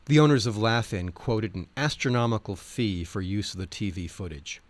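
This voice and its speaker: A man reading scripted nonfiction in a wry, expressive storytelling manner. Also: lowish voice, normal speed, no room sound. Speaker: audiobook reader. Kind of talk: scripted nonfiction narration with acted quotes.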